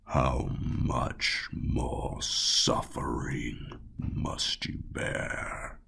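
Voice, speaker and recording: A man voicing a condescending evil god in human disguise for a horror audiobook, whispery and purring, slow, measured and mocking. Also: extremely low pitch, huge pitch swings, vocal fry, bright, forceful tone, husky, exaggerated voice. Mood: falsely sympathetic. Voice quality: whispery purr.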